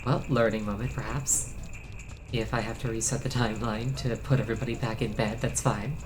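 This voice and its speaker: A woman's voice: very deep voice, steady tone, brisk, background noise. A woman streamer with a stuffy nose, musing wryly aloud as she plays a video game. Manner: wry, thinking aloud. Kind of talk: casual gameplay commentary. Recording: game music behind the voice.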